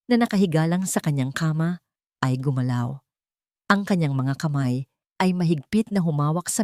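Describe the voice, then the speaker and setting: Low-voiced woman narrating dramatically. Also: a little mellow, no room sound, studio quality. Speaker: audiobook narrator. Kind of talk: dramatic scripted story narration.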